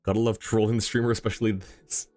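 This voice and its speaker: Male game streamer, low pitch, casual chat, easygoing, thinking aloud, faint background music. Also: level tone, fast talker, a little mellow, no echo. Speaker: game livestreamer.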